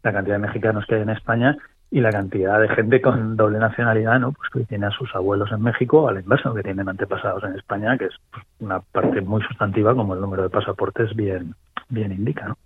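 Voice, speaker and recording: A man from Spain with a Spanish accent, a guest talking politics over a call, pausing and restarting as he thinks. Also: lowish voice, steady tone, slightly rough. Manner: thoughtful, many restarts and fillers. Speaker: guest commentator. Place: remote location abroad. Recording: tinny phone line, dry.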